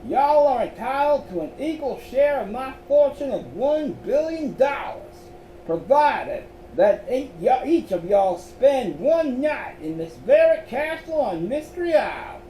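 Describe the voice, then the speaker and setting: A man's voice: very high voice, big pitch swings, measured pace, mellow, voice pushed up, heavily acted. A man voicing an eccentric old male colonel from the American South, speaking from a phonograph record in a drawling, theatrical way with a Southern accent. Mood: jovial. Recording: echoey room, faint noise.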